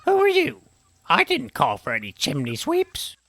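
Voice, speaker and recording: A woman's voice: mid-range voice, wildly swooping pitch, moderate pace, a bit bright, dry recording, exaggerated voice. A woman playing a cranky, suggestive old village woman in a fantasy audio drama, with a cackly, accusing delivery. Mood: indignant, suspicious. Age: older. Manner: accusing, teasing, brusque.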